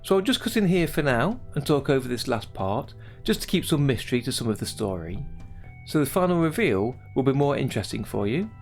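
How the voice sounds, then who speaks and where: A man's voice: mid-range voice, very animated, fairly quick, soft background music. A man giving a scripted tutorial voice-over as a hobby animator presenting his own project.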